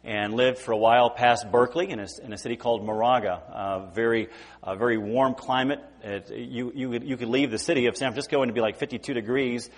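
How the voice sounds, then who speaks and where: A man in his forties from California, preaching a sermon in a casual, halting way with many repeated words and fillers. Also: medium pitch, steady tone, big-room sound. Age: 40s. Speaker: guest preacher. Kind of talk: informal sermon. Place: church sanctuary.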